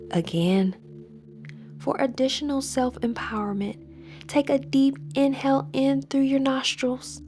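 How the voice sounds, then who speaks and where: A woman with a fairly high-pitched voice guides a meditation. Her delivery is measured and soothing, with soft, breathy phrases that often taper toward a near-whisper. She gives each instruction gently, as a host leading a practice.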